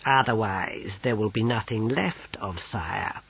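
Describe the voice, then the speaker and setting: A man voicing sly, sinister creatures called mools in a storybook reading, in a slow, breathy, gravelly murmur. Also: fairly low pitch, muffled recording, exaggerated voice.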